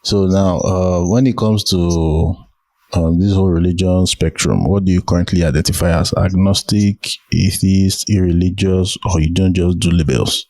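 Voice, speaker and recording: A Nigerian-accented man hosts a casual interview podcast. His voice sits very low, and the recording is close and dry, with little room sound. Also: fairly even intonation, moderate pace, darkish tone, no echo. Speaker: podcast host.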